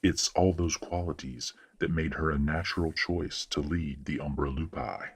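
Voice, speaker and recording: A man playing a stern, courteous, middle-aged werewolf father in an audio roleplay drama, speaking in a formal, measured, authoritative way. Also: a bass voice, fairly even intonation, husky, full character voice.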